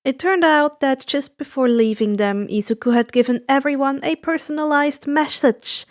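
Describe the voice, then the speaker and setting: A woman's voice: high-pitched, normal speed. A woman narrating fan fiction from a script as a storyteller.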